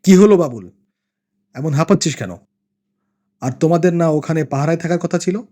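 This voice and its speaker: A man voicing a gruff, corrupt senior police officer in a horror cartoon. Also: fairly high pitch, a little fast, warm tone, close to an everyday voice. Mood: scornful. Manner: scolding, disbelieving.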